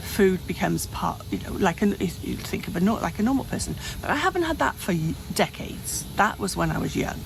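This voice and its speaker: Woman, 58, candid vlogger, raspy fry in the voice, rambling with restarts, noisy room sound. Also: medium pitch, sing-song, fairly quick, few pauses. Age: 50s. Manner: rambling, frequent restarts and self-corrections. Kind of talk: candid personal vlog. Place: home, hot room.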